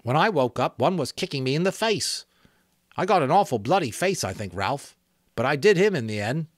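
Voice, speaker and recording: A man voicing an excited schoolboy who brags indignantly about a fight in a story reading. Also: on the high side, brisk, dry recording, studio quality, voice pushed up. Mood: excited, proud.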